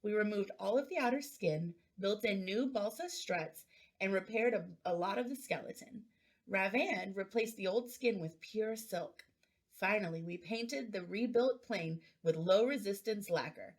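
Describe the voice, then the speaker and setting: A woman's voice: mid-range voice, a little slow. A woman reading a children's storybook aloud as narrator. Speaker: school administrator reading aloud.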